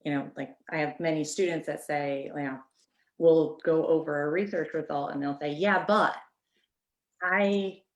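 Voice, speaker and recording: Deep-voiced woman professor, lively, in a roomy echo. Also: moderate pace. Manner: animated, acts out students' quotes. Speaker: psychology professor. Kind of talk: webinar panel discussion.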